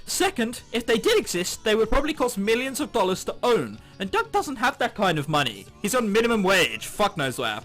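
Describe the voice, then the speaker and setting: Man, high bright voice, lively swoops, sarcastic game commentary, music underneath. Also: a little fast, few pauses. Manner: sarcastic asides, swearing. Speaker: let's play host. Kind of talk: reading a visual novel aloud with running commentary.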